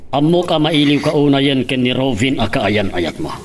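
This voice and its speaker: A middle-aged man playing a gentle, earnest father in an Ilocano radio drama. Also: mid-range voice, animated, normal speed, a little hiss or hum, close to an everyday voice.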